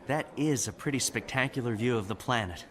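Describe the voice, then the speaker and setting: Wry young lieutenant played by an actor, crowd behind. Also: male voice, mid-range voice, brisk, natural voice. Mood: dry sarcasm. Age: young adult. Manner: plain-spoken, dryly sarcastic. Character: earnest, wry young male imperial lieutenant, modest newcomer. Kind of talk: audio drama acting.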